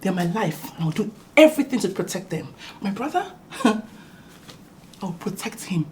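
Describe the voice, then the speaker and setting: A woman's voice: medium pitch, very animated, moderate pace, a little mellow, a little hiss or hum, close to an everyday voice. An actress playing a sarcastic sister who lectures and mocks her brother's wife.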